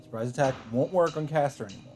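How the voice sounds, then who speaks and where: A man's voice: medium pitch, fast, a little mellow. A man hosting a let's play, reading visual novel narration aloud. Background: game music.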